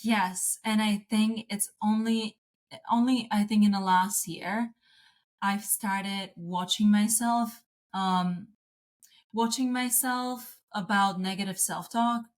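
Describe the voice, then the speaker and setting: A woman's voice: mid-range voice, fairly even intonation, takes it slowly. Woman, chatty guest. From Slovakia. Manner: chatty, restarts and laughs often. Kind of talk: casual interview talk.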